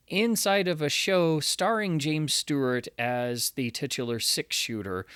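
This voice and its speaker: A man's voice: mid-range voice, a bit bright, clean tone, dry recording, studio quality. A man delivering review commentary in an earnest, articulate, enthusiastic way, as a critic talking through a subject he likes. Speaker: reviewer, radio series critic. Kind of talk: review commentary, semi-scripted monologue.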